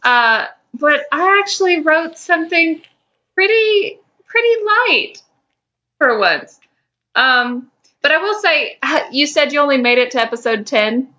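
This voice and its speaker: A woman's voice: high-pitched, lively intonation, a little slow, bright, forceful tone. A woman speaking as a podcast co-host who chats and reads her own fan fiction. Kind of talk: casual chat and reading own fan fiction aloud.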